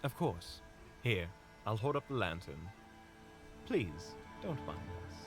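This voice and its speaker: A man's voice: lowish voice, sing-song, takes it slowly, fairly bright tone, faint music behind, heavily acted. A man playing a courteous, diplomatic hunter in a fantasy audio drama, with a polite, genteel manner. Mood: suave. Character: courteous, diplomatic, smooth-talking monster hunter named Swan. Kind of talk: fantasy audio roleplay acting.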